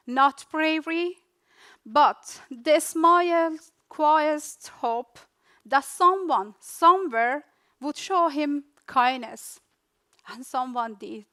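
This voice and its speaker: A young Afghan woman with a Dari-speaker's accent gives a rehearsed talk about her own life. Her voice sits high, and she lets each short phrase land in its own slow, unhurried beat. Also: a bit bright. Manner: slow, deliberate delivery in short dramatic phrases with pauses. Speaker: refugee speaker. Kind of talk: rehearsed personal storytelling speech.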